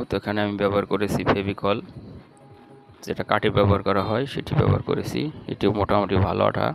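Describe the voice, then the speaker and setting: Gravelly low-voiced Bangladeshi man teaching. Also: low-quality recording. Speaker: electronics repair channel host. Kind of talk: step-by-step repair tutorial narration.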